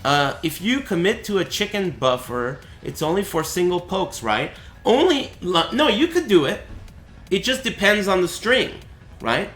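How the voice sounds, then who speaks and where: Brief: bright, animated man, voice gone raspy, casual stream tutorial, echoey room with music. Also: high voice, unhurried. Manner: chatty, explanatory, asks "right?" often. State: voice raspy and cracking. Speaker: streamer teaching a fighting game.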